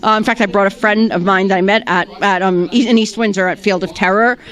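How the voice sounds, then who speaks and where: A woman actress answering red carpet questions, hesitant, with many pauses and restarts. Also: medium pitch, fairly even intonation, a bit bright.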